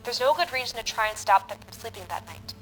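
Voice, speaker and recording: A woman playing a matter-of-fact medical broadcaster, giving measured, precise instruction in an audio drama. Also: fairly high pitch, lively intonation, a bit bright, slight background noise. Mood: clinical, instructive. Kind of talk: scripted instructional radio broadcast.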